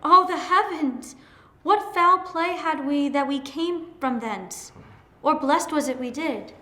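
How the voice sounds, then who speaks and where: An actress playing a tender-hearted, anxious young noble daughter in earnest, theatrical Shakespearean verse. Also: female voice, sits high, slow, sharp tone, full character voice. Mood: anguished. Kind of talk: Shakespearean dramatic reading. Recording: roomy, echoing sound.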